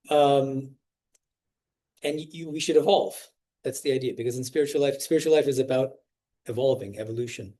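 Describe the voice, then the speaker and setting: A man's voice: on the high side, mellow. A man in his forties from the United States, a swami giving an informal spiritual talk to students and stopping often to ask them questions. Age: 40s. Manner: conversational, often restarts phrases and quizzes the listeners.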